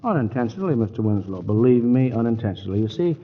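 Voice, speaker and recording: An actor playing a wisecracking middle-aged lawyer turned amateur detective in a 1940s radio play, breezy and flirtatious. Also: male voice, medium pitch, animated, fast, lightly acted. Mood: wry. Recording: muffled 1940s radio broadcast.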